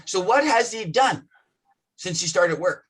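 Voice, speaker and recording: A man with a rough voice talking passionately and bluntly in a casual business discussion, swearing often. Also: sits high, animated, measured pace, fairly bright tone.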